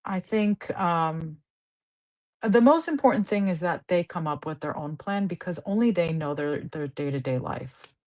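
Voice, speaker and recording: A woman's voice: lowish voice, animated, moderate pace, a little mellow. A woman clinician in a casual interview, earnestly explaining how she counsels patients and acting out their conversations. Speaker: guest clinician. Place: Southern California.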